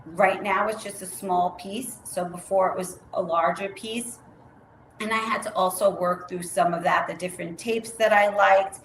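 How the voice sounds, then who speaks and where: A woman guest answering interview questions in a measured, explanatory way, with some fillers. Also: medium pitch, steady tone, a bit bright. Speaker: guest, myofunctional therapist. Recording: roomy with faint noise.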